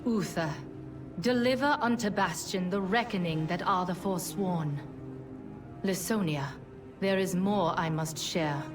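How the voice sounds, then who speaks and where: A woman playing a shrouded, scheming rebel leader in a fantasy game, grave and deliberate. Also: lowish voice, over music, close to an everyday voice. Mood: grave, conspiratorial. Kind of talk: fantasy video game voice acting. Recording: distant voice with background ambience.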